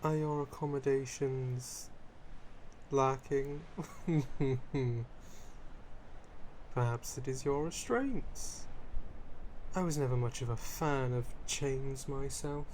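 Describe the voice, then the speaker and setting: A man's voice: mid-range voice, slow, long pauses, darkish tone, a little hiss or hum, lightly acted. A man playing a theatrical, fussy vampire aristocrat in an ASMR roleplay, with pompous old-fashioned wording, flustered stammers and dramatic emphasis. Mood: smug, teasing. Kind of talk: ASMR roleplay character acting, addressing the listener.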